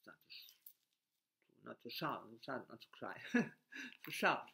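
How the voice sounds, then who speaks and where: A man from Germany with a German accent, speaking haltingly in a reflective monologue with frequent restarts and broken grammar. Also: mid-range voice, sing-song, vocal fry, bright, forceful tone.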